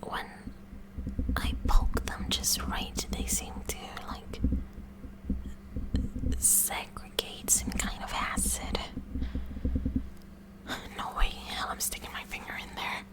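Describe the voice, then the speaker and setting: A kooky high school science club president, acted out by a woman in a whispered ASMR roleplay. She speaks slowly and deliberately in a soft, breathy whisper. Her manner is eagerly curious and inquisitive, like a student examiner studying a specimen up close. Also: lightly acted, low-quality recording. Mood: intrigued.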